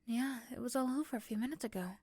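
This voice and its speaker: A woman's voice: on the high side, fast, darkish tone, dry recording, natural voice. A woman voicing a possessive yandere girlfriend in an ASMR roleplay, coaxing and pleading with tender pet names. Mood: tender, soothing.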